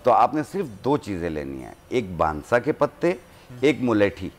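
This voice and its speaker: Calm, instructive man with a lowish voice. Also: moderate pace, slight background noise. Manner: calm, instructive, gives home remedies step by step. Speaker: herbalist guest. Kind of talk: studio talk show advice.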